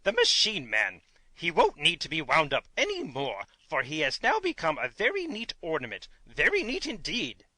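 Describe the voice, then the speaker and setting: Storybook gnome king, acted by a woman: small blustering male monarch, sharp forceful tone, pitch leaping wildly, indignant and theatrical. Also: huge pitch swings, normal speed, dry recording, full character voice. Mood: smug.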